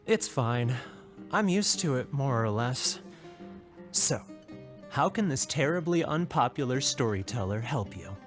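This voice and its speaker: A man's voice: medium pitch, lively intonation, a little slow, faint music behind, natural voice. A man voicing a resigned, self-deprecating cafe storyteller in a video game, with a theatrical manner. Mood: dejected.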